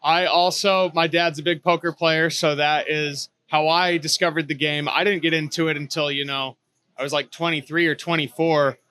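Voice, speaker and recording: A man in his twenties hosts an interview with a slightly high voice. He opens with a scripted intro, then moves into friendly, chatty questions. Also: little pitch movement, normal speed, sharp tone, clear, resonant tone, no room sound. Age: 20s. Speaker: interview host. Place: on a cruise ship.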